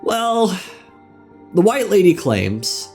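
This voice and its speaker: Slow, lively male video essayist over music. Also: high-pitched. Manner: theatrical, wry, dramatic pauses. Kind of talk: scripted narration of game lore.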